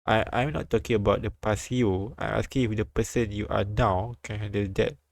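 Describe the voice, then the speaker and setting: Low, creaky-voiced man reading aloud. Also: fairly even intonation, no room sound. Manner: halting, repeats lines while reading. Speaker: streamer reading a visual novel aloud. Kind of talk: live read-aloud with asides.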